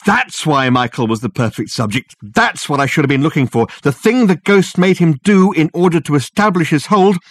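A man reading a story, voicing an eccentric, excitable detective who declaims emphatically. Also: mid-range voice, fairly quick, hardly any pauses, breathy edge, dry recording, voice pushed up. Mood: excited.

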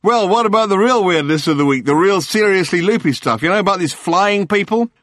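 A man voicing a gushing, fast-talking male tabloid journalist who babbles silly nicknames in a breathless torrent. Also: high voice, animated, fairly bright tone, dry recording, clean studio sound, pitched above the performer's own voice. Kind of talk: audiobook character voice.

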